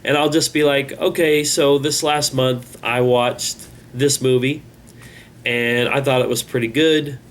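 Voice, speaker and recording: A man hosting his own channel, talking in a halting, rambling, self-critical way, with a congested voice. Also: medium pitch, steady tone, slight background noise. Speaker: channel host. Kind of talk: casual vlog monologue.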